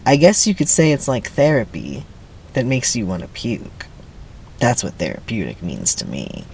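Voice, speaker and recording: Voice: mid-range voice, wildly swooping pitch, normal speed, creaky, darkish tone, a little hiss or hum. Someone doing a sullen, cynical teenage boy. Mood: sullen, bitter. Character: Stanley, cynical, sullen sixteen-year-old boy. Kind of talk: dramatic script reading.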